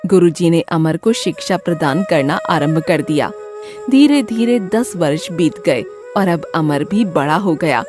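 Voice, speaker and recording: Lively, low-pitched woman storyteller. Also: measured pace, over music. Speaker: storyteller, narrator. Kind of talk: scripted children's moral-story narration.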